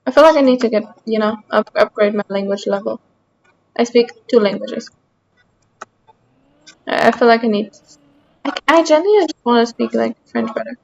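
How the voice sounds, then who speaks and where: Woman, high voice, Austrian German accent, German native speaker, game streamer, casual chatty talk with viewers, self-deprecating. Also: normal speed, creaky, pauses often, darkish tone, muffled recording, slight background noise. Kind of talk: casual livestream chat while gaming.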